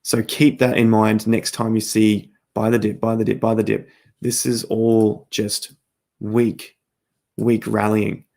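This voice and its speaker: Hoarse man, gravelly low voice, repeats points emphatically, live chart talk. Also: monotone, a little slow, darkish tone. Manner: repetitive, emphatic, talking through charts. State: losing his voice, coughing. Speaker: crypto trading livestream host. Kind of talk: live-stream chart analysis.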